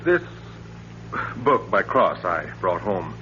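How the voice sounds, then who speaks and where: A troubled suburban husband, affectionate but suspicious and probing. His voice is forceful and swings widely in pitch, in old radio drama manner. The recording is dull, with a faint hum. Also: big pitch swings, slow pace, full character voice. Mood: uneasy, probing. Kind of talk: 1940s radio thriller acting.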